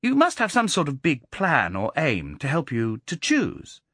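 A man's voice: a little high, wildly swooping pitch, a little slow, bright, forceful tone, no echo, clean studio sound, voice pushed up, heavily acted. A man voicing a precocious boy with a curiously precise treble, innocent and probing in manner. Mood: innocently needling.

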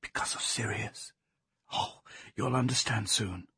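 A man voicing an earnest young English woman, brisk and emphatic yet tender, in a storybook reading. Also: on the high side, expressive, breathy edge, pitched above the performer's own voice. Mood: grave, emphatic.

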